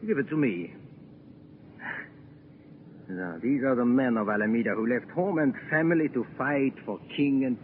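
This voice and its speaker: A man's voice: medium pitch, lightly acted. Male actor as condescending Nazi occupier, menacing, vintage radio drama, tinny sound with faint noise. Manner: condescending, coolly threatening. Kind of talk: 1940s radio drama acting. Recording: tinny, noisy 1940s radio recording.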